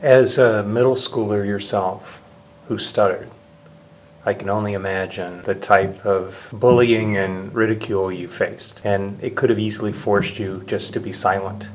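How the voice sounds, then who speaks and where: Man, lowish voice, measured, empathetic interviewer, faint noise behind. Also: steady tone. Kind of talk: interview questions.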